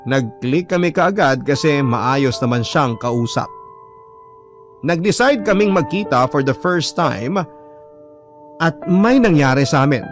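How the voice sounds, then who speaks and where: A man's voice: on the high side, expressive, measured pace, faint music behind. A man with a Filipino accent narrating a first-person confession story in a dramatic radio style. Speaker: narrator reading a listener's letter.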